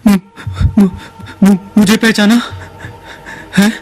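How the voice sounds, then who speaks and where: A man's voice: high-pitched, flat delivery, takes it slowly, slight background noise. An actor playing a ragged, tormented man who has lost his identity, stammering and repeating himself in theatrical radio drama style. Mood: anguished, bewildered.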